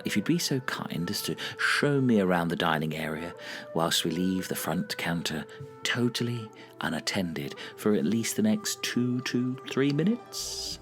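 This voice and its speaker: An actor playing a self-serious, easily exasperated male robbery gang leader in a foul-mouthed audio comedy, deliberate and deadpan. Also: low voice. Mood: theatrically casual.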